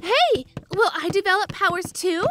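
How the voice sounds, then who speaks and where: A woman's voice: high voice, sing-song, normal speed, background noise. A woman playing a bubbly, cheeky, carefree youngster in a fan dub, with a chirpy, playful manner.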